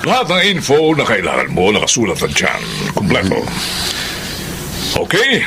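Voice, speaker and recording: An actor playing a scheming rich crime boss in a radio drama, gravelly and condescending, drawing out his words. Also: on the low side, wildly swooping pitch, normal speed. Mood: smug, businesslike. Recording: noisy radio drama track.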